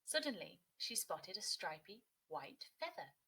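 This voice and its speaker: Expressive, slightly husky woman reading a storybook. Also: medium pitch, unhurried. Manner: expressive, clearly phrased for young children. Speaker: teacher and story reader. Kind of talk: children's storybook narration.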